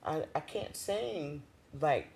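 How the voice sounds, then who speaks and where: A woman with a deep voice, a guest singer chatting easily in an interview, with frequent pauses and "um"s. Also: creaky, slight background noise. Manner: relaxed, chatty, says "um" often. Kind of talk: casual interview chat.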